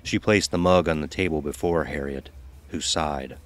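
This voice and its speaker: A man narrating a scripted dark audio story. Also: rumbling low, normal speed.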